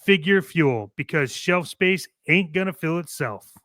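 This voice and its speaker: A man's voice: on the high side, animated, unhurried, pushed and bright, no echo. A man co-hosting a toy collecting show, playful and enthusiastic, moving between ad reads and casual banter.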